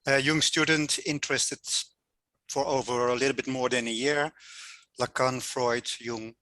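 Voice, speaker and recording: A man's voice: mid-range voice, steady tone, a little slow, bright, forceful tone, no room sound. A man from the Netherlands introducing himself briefly as a student and chemist. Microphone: video call.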